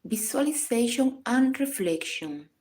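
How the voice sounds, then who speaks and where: A woman reading a scripted guided meditation in a calm, measured, instructional manner. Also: on the high side. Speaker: psychologist guiding a meditation.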